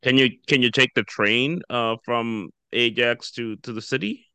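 A man hosting an interview, relaxed and casual, with frequent stumbling restarts. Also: mid-range voice, a little slow, a little hiss or hum. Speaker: podcast host.

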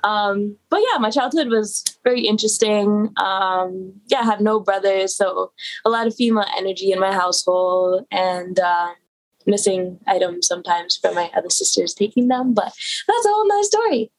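Young woman guest from Toronto, mid-pitch, relaxed easygoing storyteller. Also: normal speed, poor audio. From Toronto, Canada. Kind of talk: casual interview chat.

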